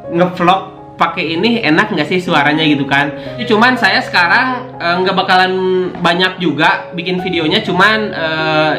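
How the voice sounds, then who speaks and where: A young man casually chatting to camera about his motorbike vlogging gear, full of slangy fillers. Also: high voice. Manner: slangy, keeps saying "cuy", many fillers. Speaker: motovlogger YouTuber. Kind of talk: casual unboxing chat to camera. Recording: echoey room with guitar music.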